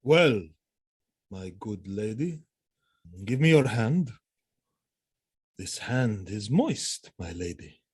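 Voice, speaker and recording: An actor playing a jealous, vengeful military commander in a Shakespearean audio drama, theatrical and brooding. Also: male voice, lowish voice, big pitch swings, slow, long pauses, slightly rough, full character voice. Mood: brooding, suspicious. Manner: theatrical, brooding, erupting into shouts.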